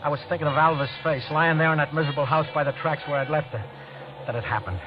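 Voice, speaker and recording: Man acting a fast-talking, haunted radio thriller fugitive. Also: on the high side, fairly even intonation, lightly acted. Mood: haunted, brooding. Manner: tense, hurried, stammering when questioned. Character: guilty, panicky man on the run after a killing. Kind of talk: 1940s-50s radio acting, first-person thriller narration. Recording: dull old radio broadcast with music.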